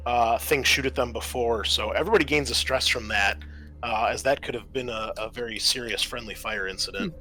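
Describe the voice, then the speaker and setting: A man acting as game master, improvising narration and explaining rules, often pausing mid-sentence as he thinks. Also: mid-range voice, sharp tone, poor audio. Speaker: game master and host of a tabletop roleplaying session. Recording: noticeable background room noise.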